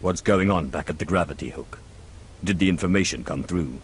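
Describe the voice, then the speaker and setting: An actor playing a cold, commanding Sith lord in a space opera game, speaking with measured authority. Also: male voice, sits low, slight background noise. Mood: commanding, focused. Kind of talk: video game cutscene acting.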